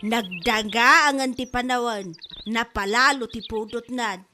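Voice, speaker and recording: Woman doing vain sassy street vendor mom, high bright voice, slow drawn-out whining, comedy drama. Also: soft background music. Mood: fretful. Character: vain, sassy Ilocano mother, struggling halo-halo vendor. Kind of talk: Filipino comedy audio drama.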